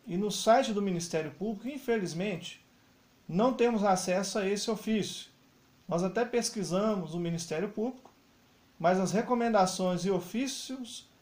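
A man's voice: high-pitched, a little mellow. A man giving news commentary, explaining as he points through web pages. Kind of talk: screen-recorded news commentary. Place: voice-over over screen recording.

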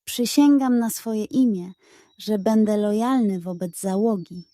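A woman's voice: medium pitch, measured pace, mellow, no room sound, clean studio sound, lightly acted. A woman voicing a tall, strong, hot-headed beast warrior who speaks solemnly in a children's bedtime story. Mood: vowing. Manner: serious, solemn.

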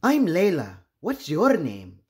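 A man's voice: fairly high pitch, wildly swooping pitch, slow, full character voice. Man reading as an eager little girl monkey. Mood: plaintive. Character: young girl monkey, eager, needy, chatty. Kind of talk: storybook character voice.

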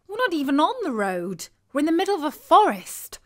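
A woman's voice: sits high, sing-song, fairly quick, a bit bright, pitched above the performer's own voice. An exasperated woman in a children's storybook acted out by a female narrator. She exclaims in disbelief. Her voice is lifted well above normal talk. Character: normally calm young woman, exasperated in spooky forest.

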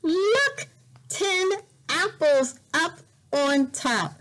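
Casting: woman teacher for a children's read-aloud lesson, slightly high voice, very unhurried delivery, careful and expressive with repeated words. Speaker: volunteer English teacher reading a picture book. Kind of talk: slow read-aloud lesson for young learners. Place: indoor room, at a desk.

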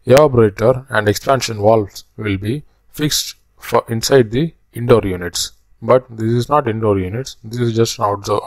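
A man narrating a slide lecture as a technical instructor, explaining step by step in halting phrases. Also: fairly low pitch, a little mellow, dry recording.

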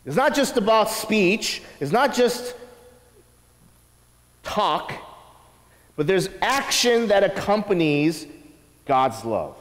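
Pastor, 30s man, lively swinging delivery, slightly higher voice, church reverb tail. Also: slow, pauses often. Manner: animated teaching with acted quotes and asides. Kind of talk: sermon. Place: church sanctuary, large indoor room. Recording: long reverb of a church hall.

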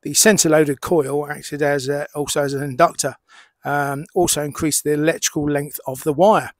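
A middle-aged man explaining and demonstrating as a hobbyist presenter, in measured short phrases with pauses. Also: on the high side, fairly even intonation, dry recording, clean studio sound. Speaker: amateur radio hobbyist presenter.

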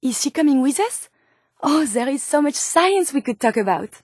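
Gentle female android scientist, French accent, higher pitch, formal and earnest, video game dialogue, very dry close sound. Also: very animated, normal speed, no room sound. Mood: polite, curious. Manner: formal, precise, earnest phrasing. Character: gentle, earnest female robot scientist turned synthetic human.

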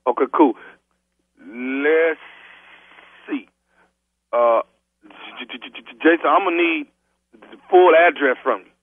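A man's voice: on the high side, huge pitch swings, no echo, full character voice. Man playing a badly stuttering rental clerk, phone line. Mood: businesslike, halting. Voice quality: heavy stutter. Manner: halting, repetitive, persistent. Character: stammering male party rental clerk confirming an order. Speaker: prank caller. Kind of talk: improvised comic prank phone call. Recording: tinny, husky phone line.

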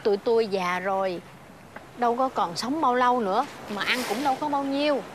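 A charitable old country wife played by a woman in a fairy-tale film, with a Southern Vietnamese accent. She speaks gently and modestly in a slightly high voice, with noticeable ambient noise behind her. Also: fairly quick, lightly acted. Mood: gentle, modest.

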